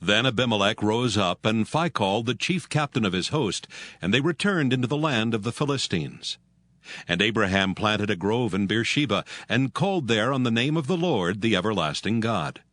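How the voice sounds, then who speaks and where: A man narrating a dramatized scripture reading in an even, measured way. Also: fairly low pitch, no echo. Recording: music bed behind.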